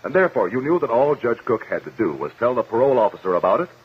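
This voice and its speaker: An actor playing a firm, formal lawyer, probing and commanding, in a 1950s radio courtroom drama. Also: male voice, mid-range voice, very animated, fast talker, slightly rough. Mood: pressing. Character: firm, probing lawyer cross-examining a witness. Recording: muffled 1950 radio broadcast.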